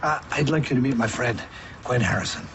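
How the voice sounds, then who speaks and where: A man's voice: mid-range voice, brisk, natural voice. An actor playing a genial, wryly grumbling man in naturalistic TV film dialogue. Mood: friendly. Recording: some background noise.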